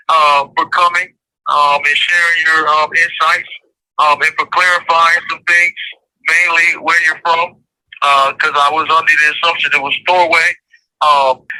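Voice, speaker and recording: An American man hosting a podcast, reading a measured, earnest intro and then talking with his guests. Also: on the high side, pushed and bright, rough recording. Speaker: podcast host.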